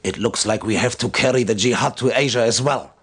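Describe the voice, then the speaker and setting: A man's voice: mid-range voice, a little fast, husky, natural voice. Stern, solemn military commander, played by a man. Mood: grave, measured. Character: stern, devout male military commander briefing his officers. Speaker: military briefing officer. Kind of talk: scripted video game cutscene briefing.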